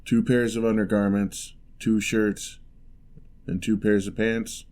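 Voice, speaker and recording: A man playing a lonely, gentle male giant in a fantasy ASMR roleplay, speaking in a measured, wry, slightly formal way. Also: on the low side, little pitch movement. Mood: matter-of-fact, bargaining.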